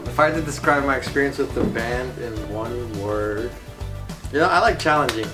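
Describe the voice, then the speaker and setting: A man's voice: medium pitch, moderate pace. Laid-back slangy young man, distant mic. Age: 20s. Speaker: band member. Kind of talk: casual chat. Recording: distant mic with background music.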